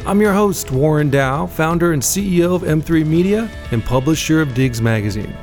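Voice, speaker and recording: A man's voice: on the high side, animated, moderate pace, darkish tone. Guy hosting a podcast, upbeat and encouraging, faint music under it. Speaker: podcast host. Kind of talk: podcast interview.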